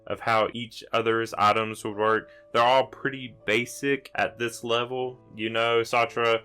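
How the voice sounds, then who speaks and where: A man's voice: mid-range voice, flat delivery, a little slow, bright, forceful tone, clear, resonant tone. A man narrating a tabletop roleplaying game as game master, explaining things in an improvised way.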